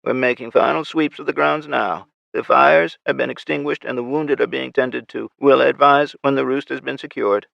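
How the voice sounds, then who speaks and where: A man voicing a tough woman squad leader with a raspy voice, giving clipped military radio reports. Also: medium pitch, steady tone, moderate pace, hardly any pauses, fairly bright tone, no room sound, voice pushed up. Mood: brisk. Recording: muffled lo-fi recording.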